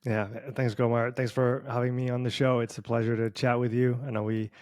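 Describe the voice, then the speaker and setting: A man's voice: mid-range voice, flat delivery, fairly quick, a little mellow, no room sound, studio quality. A man from Switzerland with a Swiss accent that carries a slight Canadian sound, telling his story as a guest in a casual online interview, rambling along with many fillers. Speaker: performance coach guest. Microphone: video call.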